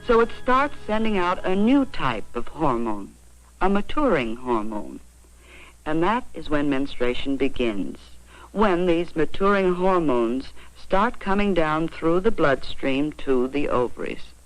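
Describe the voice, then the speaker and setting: An American woman narrating an educational film in a measured, explanatory, carefully phrased way, on a vintage 1940s soundtrack. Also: low-pitched, big pitch swings, no room sound, poor audio. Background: faint music.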